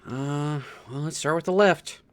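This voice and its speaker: A man's voice: a little high, measured pace. A man doing casual game stream commentary, thinking aloud and often repeating words as he puzzles things out.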